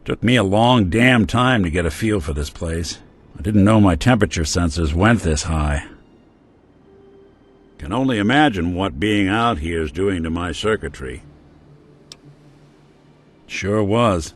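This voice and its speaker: An actor playing a world-weary male synth detective in a game, talking with a gruff, laconic noir drawl. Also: low voice, lively intonation, unhurried, long pauses. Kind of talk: game companion dialogue.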